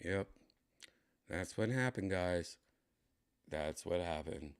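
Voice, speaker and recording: A tipsy man with a raspy, dry-throated voice, chatting casually as a comedian hosting his livestream, rambling and clearing his throat often. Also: low voice, lively intonation, takes it slowly. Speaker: comedian streaming host.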